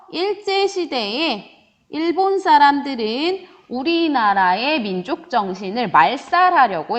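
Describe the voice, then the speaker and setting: Female grammar teacher, high and animated, drawls example words slowly for students. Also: dull audio. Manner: lively teacher voice, answers imagined student questions, drawn-out example words. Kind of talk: online grammar lecture.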